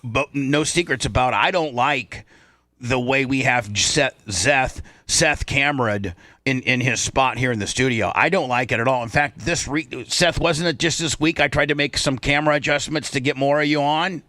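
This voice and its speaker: A man's voice: medium pitch, normal speed, pushed and bright, no room sound, clean studio sound. A man hosting a radio show in casual banter, rambling and repeating phrases for emphasis.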